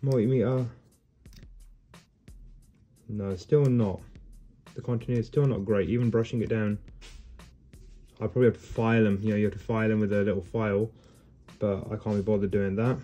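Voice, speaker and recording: A man's voice: lowish voice, fairly even intonation, brisk, pauses often, warm tone, soft background music. A man, a heating engineer, casually explaining a repair on the job in a customer's home, matter-of-fact while working with his hands. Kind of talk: casual how-to demo on the job. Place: indoors in a customer's home, wooden floor.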